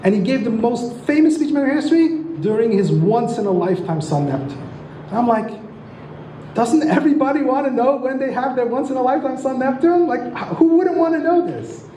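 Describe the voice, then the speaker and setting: A man with a high, mellow voice teaches a lecture and keeps tossing rhetorical questions at the audience. His tone is warm rather than sharp, and the room around him rings with a noticeable echo. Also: animated, a little fast, a little hiss or hum. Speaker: astrology lecturer and author. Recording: roomy echo.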